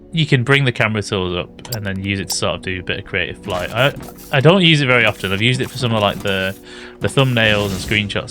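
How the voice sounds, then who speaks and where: A British man with a slightly raspy voice, thinking aloud and correcting himself as he casually commentates a building game. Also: lowish voice, sharp tone. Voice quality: slightly raspy from early morning. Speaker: gaming YouTuber. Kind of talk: casual let's-play commentary.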